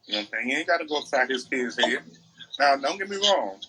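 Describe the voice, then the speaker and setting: American male podcast co-host, raspy and punchy, opinionated, emphatic conversational delivery. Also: mid-range voice, sing-song, fast, sharp tone, rough recording. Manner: emphatic, repeats phrases to make points. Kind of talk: casual opinionated podcast chat.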